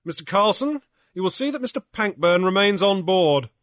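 A tough, commanding sea captain who barks his orders briskly, a bit raised and forceful, as a male narrator plays him. The voice is close and dry. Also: high-pitched, lively intonation, no echo, pitched above the performer's own voice. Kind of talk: audiobook character voice.

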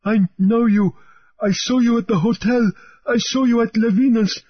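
A man's voice: very high voice, steady tone, normal speed, slightly rough, highs cut off, pitched above the performer's own voice. A man voicing a terrified harelipped island cook who splutters and strains to get his words out. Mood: frantic pleading.

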